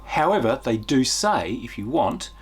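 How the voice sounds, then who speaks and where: A man giving an unscripted, enthusiastic game review in a conversational way, with emphatic stresses and a husky voice. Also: mid-range voice, big pitch swings, unhurried. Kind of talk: unscripted review talk to viewers.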